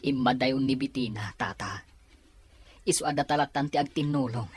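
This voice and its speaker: A man with a Filipino accent playing a sympathetic younger man consoling an elder in an Ilocano audio drama, in a husky voice. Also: mid-range voice, normal speed, lightly acted. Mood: concerned, consoling.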